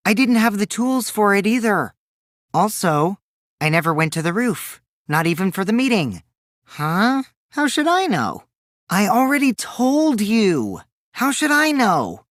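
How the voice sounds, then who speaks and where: Impish, animated teenage trickster boy. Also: sits high, no room sound, studio quality, heavily acted. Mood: feigned innocence. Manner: childish, singsong mockery, theatrical swings from gleeful to coolly sly. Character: mischievous, taunting teenage boy, a compulsive trickster and liar. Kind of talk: English video game character voice acting.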